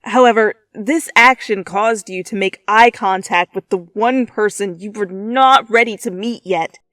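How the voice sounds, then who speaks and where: A woman's voice: mid-range voice, unhurried, a bit bright, no room sound. A woman reading fan fiction aloud as a dramatic second-person story narration. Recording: close, dry, music behind.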